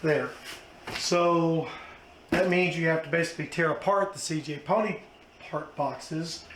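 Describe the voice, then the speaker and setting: A hoarse man, hosting a casual unboxing vlog with plenty of fillers. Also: on the high side. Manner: chatty, self-deprecating, many fillers. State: hoarse, losing his voice. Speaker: car channel host. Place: outdoors then indoors at home. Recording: echoey room with background noise.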